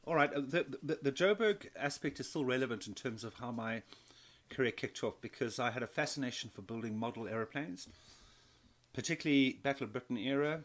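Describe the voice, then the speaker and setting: A man's voice: mid-range voice, animated, brisk, a bit bright. A man in his fifties from South Africa, telling his story as an interview guest, with many restarts and repeated words. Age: 50s. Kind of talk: conversational interview.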